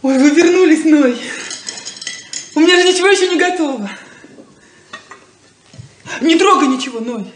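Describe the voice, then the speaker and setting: An actress playing a flustered, eager grown daughter keeping house in a 1970s radio play, fussing and hurried. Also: female voice, high-pitched, reverberant, a little hiss or hum, close to an everyday voice.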